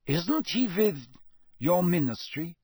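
A man voicing a wary young male foreign athlete who talks slowly and haltingly. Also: high voice, big pitch swings, creaky, darkish tone, husky, dry recording, studio quality, voice pushed up, exaggerated voice. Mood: uneasy.

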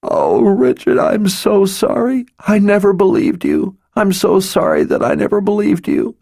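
Sobbing, pleading young woman, pitched way up, read by a male narrator; slow, anguished storybook voice. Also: wildly swooping pitch, gravelly, darkish tone, no echo, clean studio sound, exaggerated voice. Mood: pleading sobs. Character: grief-stricken young woman begging forgiveness.

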